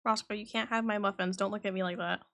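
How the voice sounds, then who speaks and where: A woman's voice: medium pitch, fairly even intonation, fast, a bit bright. A woman streaming a game, chatting casually in a rambling way and swearing now and then. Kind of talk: casual livestream chat.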